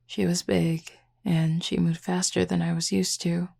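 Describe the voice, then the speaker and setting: A flirty werewolf woman, played by a voice actress in an intimate audio roleplay. Her low voice is thick with gravelly fry and husky at the edges. She talks in an unhurried, nonchalant way, keeping her intonation very even. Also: heavily acted. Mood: calm, reflective. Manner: casual, unhurried, teasing, nonchalant. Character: flirty laid-back female werewolf, tattooed, scarred, protective.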